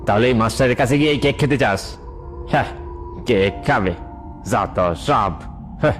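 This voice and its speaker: A man's voice: mid-range voice, moderate pace, soft background music, close to an everyday voice. A man voicing an irritable, grumbling village father who is short on patience in a children's cartoon. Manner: grumbling, exasperated, dismissive.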